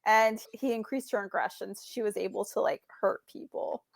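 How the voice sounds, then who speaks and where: A woman's voice: medium pitch, moderate pace, creaky, dry recording. A woman appearing as a podcast guest, chatting casually about a movie, giggling often and leaning on filler words.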